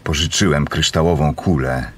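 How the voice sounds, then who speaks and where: An actor playing a calm, probing doctor in a radio play, questioning in a measured, deliberate way. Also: male voice, extremely low pitch, slight background noise. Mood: probing, intent. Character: calm, probing male doctor, quietly persuasive. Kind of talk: Polish radio play acting.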